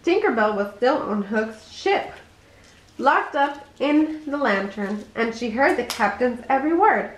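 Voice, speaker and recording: Higher-voiced woman reader in an echoey room. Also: expressive, unhurried, slight background noise. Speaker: storybook reader. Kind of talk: expressive children's bedtime story read-aloud.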